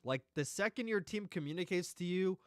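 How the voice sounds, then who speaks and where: A man's voice: sits high, animated, a little fast. A man streaming a review of esports matches in casual, blunt, profane live commentary, rambling with restarts while losing his voice.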